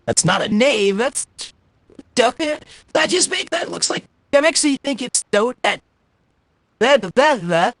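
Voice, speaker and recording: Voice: medium pitch, very animated, slow pace, long pauses, fairly bright tone, no echo. A game streamer talking casually on a livestream in a blunt, exasperated, grumbling way, through a voice changer. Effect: possible voice changer. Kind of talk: casual livestream gaming commentary. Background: game music.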